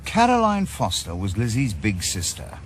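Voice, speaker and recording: A man's voice: fairly low pitch, huge pitch swings, moderate pace, a little hiss or hum. A middle-aged man with a Welsh accent, playing a stubborn, sardonic retired detective with a dry, probing manner in a radio drama.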